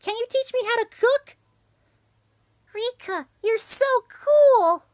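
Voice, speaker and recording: A man voicing a tiny, loud and hyper little girl in a fan anime voice reel. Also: very high-pitched, slow pace, no echo, clean studio sound, pitched above the performer's own voice, heavily acted. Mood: excited. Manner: squealing, excitable.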